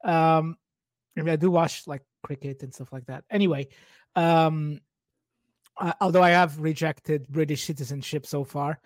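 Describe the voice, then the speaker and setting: A man's voice: a little high, fairly even intonation, moderate pace, no echo, studio quality. A man in his forties from Portugal, a native Portuguese speaker, chatting informally and in a rambling way as a podcast guest. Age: 40s. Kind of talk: casual personal chat.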